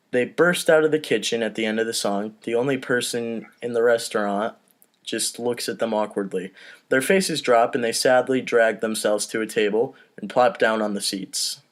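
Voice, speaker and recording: A man casually reading out script stage directions for a comedy table read, with informal off-the-cuff asides. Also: medium pitch.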